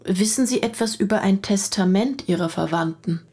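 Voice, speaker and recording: Matter-of-fact detective inspector in a radio play, probing, husky voice with a touch of fry. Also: female voice, mid-range voice, normal speed, darkish tone. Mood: probing, businesslike. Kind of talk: radio drama acting.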